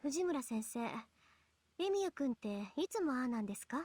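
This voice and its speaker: An actress playing a confident, politely bossy teenage schoolgirl in a Japanese visual novel, crisp and assertive. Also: high-pitched, big pitch swings, quick pace, dark tone, no room sound, heavily acted. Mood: curious. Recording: game music faintly behind.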